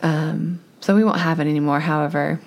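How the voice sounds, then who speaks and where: A woman co-hosting a podcast with her husband, chatty and animated, her voice a little scratchy, laughing often. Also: deep voice, brisk. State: slightly scratchy voice. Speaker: podcast co-host. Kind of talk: casual chat.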